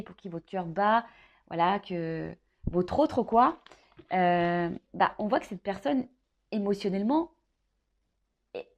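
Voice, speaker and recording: A woman's voice: medium pitch, a little mellow, a little hiss or hum. A woman giving an informal, improvised tarot reading straight to the listener, thinking aloud with hesitations, repeats and little tag questions. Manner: hesitant, thinking aloud, with frequent "hein" tags and repeated phrases. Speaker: tarot card reader hosting a love horoscope reading. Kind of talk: informal improvised tarot guidance addressed to the viewer.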